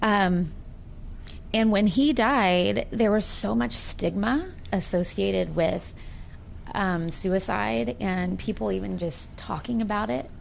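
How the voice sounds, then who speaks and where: A woman's voice: mid-range voice, takes it slowly, creaky, slight background noise. An American woman in her forties talking earnestly and reflectively in a sincere personal conversation. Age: 40s. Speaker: participant in a recorded one-on-one conversation.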